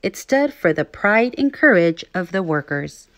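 A woman teacher reading aloud to young children, phrasing things clearly and putting questions to her listeners. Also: lowish voice, sing-song, a little slow, no room sound, highs cut off. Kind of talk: children's read-aloud narration.

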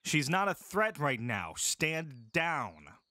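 An actor playing a kind but firm male army medic in a full-cast audiobook, speaking with calm authority. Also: on the high side, slow pace, dry recording, exaggerated voice.

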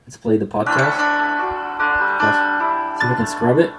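A man's voice: mid-range voice, unhurried, loud music behind the voice, low-quality recording. A man giving a casual product review in an unboxing video. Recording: clear background noise with clicks.

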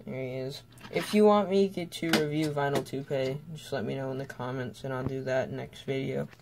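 A young student giving a casual, unscripted toy car review in a hesitant, halting way with trailing thoughts. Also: mid-range voice, fairly even intonation, gravelly, noisy background.

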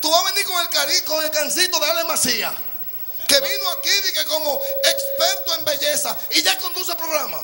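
A middle-aged Dominican-accented man hosts live television with a very high-pitched, gravelly voice. He is loud and brusque and cuts in often, with music playing faintly behind him. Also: brisk. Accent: Dominican Spanish. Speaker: TV variety show host. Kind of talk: excited live TV presenting and banter. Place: TV studio stage. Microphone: handheld microphone.

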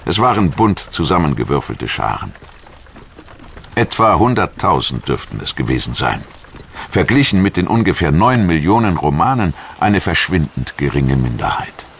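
A man narrating a scripted history documentary in a measured, articulate storytelling manner. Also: low voice, a bit bright, slight background noise.

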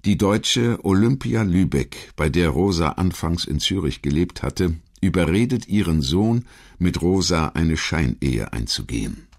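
Low male voice reading a history documentary script, measured and clearly worded. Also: sing-song. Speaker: documentary narrator. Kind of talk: scripted biographical narration.

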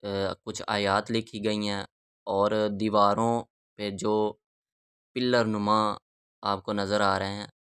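A man narrating a historical walking tour in a measured, reverent, halting way, phrase by phrase. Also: lowish voice, little pitch movement, a bit bright, smooth, dry recording, studio quality. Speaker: vlogger and guide.

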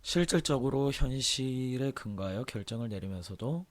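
A man with a hoarse, sore-throated voice, chatting casually on a livestream as he reads aloud and comments offhandedly. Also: medium pitch, expressive, moderate pace. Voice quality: hoarse, husky. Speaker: game streamer.

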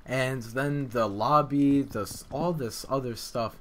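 A man's voice: mid-range voice, takes it slowly, a bit bright. Man rambling through a noisy casual vlog. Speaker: video game map developer and video maker. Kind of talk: casual unscripted development vlog commentary. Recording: clear background noise with clicks.

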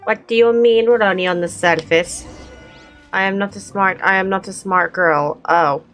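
A woman's voice: mid-range voice, normal speed, a bit bright. A young woman giving casual, jokey, self-deprecating commentary as she plays a video game. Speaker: gaming YouTuber. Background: game music.

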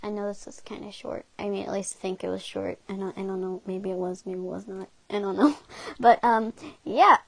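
A woman's voice: medium pitch, steady tone, brisk, vocal fry. A young woman rambling casually as a video creator, apologetic, awkward and giggly. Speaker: video creator dubbing fan comics. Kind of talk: casual, rambling vlog commentary.

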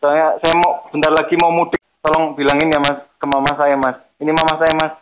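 A man's voice: fairly high pitch, little pitch movement, brisk, background noise. A man making a prank phone call, talking politely and insistently, as if pleading. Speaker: prank caller. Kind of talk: prank phone call, playing a grateful victim.

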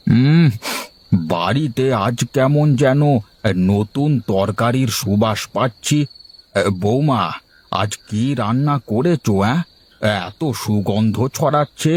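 A man voicing an old village father-in-law who runs an eatery in a cartoon, with a husky, drawn-out, exclaiming delivery. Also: medium pitch, darkish tone. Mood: delighted, savouring. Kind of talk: cartoon voice acting.

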